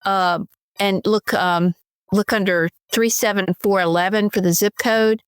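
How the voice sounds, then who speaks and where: Woman host, friendly, rambling, self-correcting, mid pitch, dry close sound. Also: fairly even intonation, measured pace, smooth, no room sound. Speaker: podcast host. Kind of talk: casual interview conversation.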